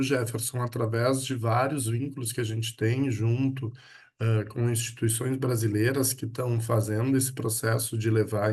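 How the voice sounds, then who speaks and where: A man hosting a business webinar over a video call, relaying audience questions in a relaxed way. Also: mid-range voice. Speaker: webinar host. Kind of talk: moderating a webinar.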